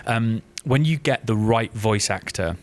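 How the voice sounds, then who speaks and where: Effusive, lower-pitched man chatting. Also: animated. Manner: effusive, halting with repeated emphasis. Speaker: writer and podcast guest. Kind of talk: casual enthusiastic chat about a creative project.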